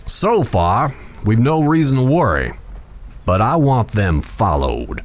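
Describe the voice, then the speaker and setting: An actor playing a smooth, scheming town boss in a western audio drama, measured, self-assured and insinuating. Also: male voice, fairly low pitch, sing-song. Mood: suspicious, calculating.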